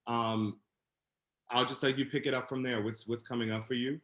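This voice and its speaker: A man moderating a video panel, passing the floor in brief, low-key handoffs. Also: little pitch movement, normal speed, fairly bright tone. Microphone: video call. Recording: echoey, distant room sound.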